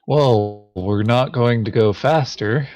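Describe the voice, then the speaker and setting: A man's voice: medium pitch, takes it slowly. A man chatting casually over a board game in brief, matter-of-fact remarks. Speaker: board game player on a playthrough show. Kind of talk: casual tabletop game chat.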